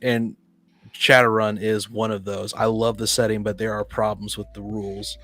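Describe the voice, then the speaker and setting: A man hosting a casual discussion of tabletop games, talking in a halting, rambling way that often repeats words. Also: fairly low pitch, little pitch movement, pushed and bright.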